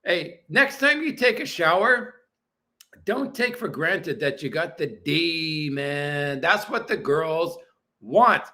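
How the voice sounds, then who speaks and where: A man's voice: a little high, lively intonation, takes it slowly, bright, forceful tone. A man in his forties hosting a livestream from his apartment, answering viewer comments in a crude, profane, blunt way. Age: 40s. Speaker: livestream host. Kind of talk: casual livestream chat reading viewer comments.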